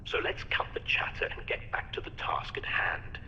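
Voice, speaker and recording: An actor playing an eccentric, menacing hospital doctor in a video game, with a breathy voice and mockingly formal, wordy manner. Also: male voice, normal speed, bright, forceful tone, roomy sound, long reverb tail, dull audio. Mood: coolly manipulative. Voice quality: breathy, whispery. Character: eccentric, menacing, polite mad hospital doctor, male villain. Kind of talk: theatrical video game voice acting.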